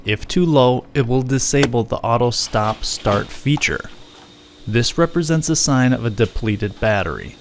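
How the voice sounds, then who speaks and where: A man's voice: mid-range voice, animated, measured pace, a little hiss or hum. A man reading scripted how-to narration as the host of a video.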